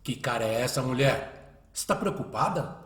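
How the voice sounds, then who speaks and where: An actor playing a devoted, folksy country husband with a Brazilian accent and an expressive, reassuring manner, in a radio drama. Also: male voice, mid-range voice, moderate pace, echoey room, over loud music, natural voice. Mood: tender, reassuring.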